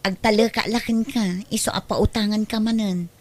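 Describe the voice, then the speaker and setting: A woman's voice: medium pitch, fairly even intonation, normal speed, natural voice. An actress playing a wary neighbor woman who nags about debts, in an Ilocano radio drama. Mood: reluctant.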